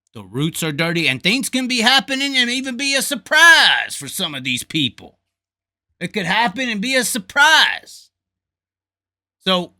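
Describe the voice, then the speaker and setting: A man's voice: fairly high pitch, bright, forceful tone. A man hosting a talk show, giving blunt, folksy, opinionated casual commentary with some swearing and repeated phrases for emphasis. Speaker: host of a motorsports talk show.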